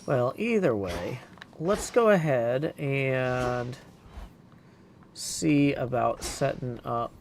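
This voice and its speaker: A man's voice: medium pitch, expressive, very slow. A man giving casual live commentary as he streams a video game. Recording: clear background noise.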